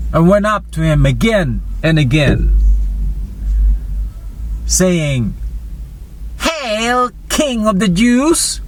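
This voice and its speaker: A man narrating a dramatized Bible reading, deliberate and phrase by phrase. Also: a little high, poor audio. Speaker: scripture narrator.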